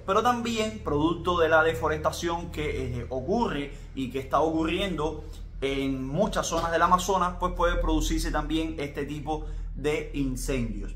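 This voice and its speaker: A man's voice: on the high side, a bit bright. Cuban man vlogging over street noise. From Cuba. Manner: chatty, addresses viewers as family. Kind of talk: casual explanatory vlog.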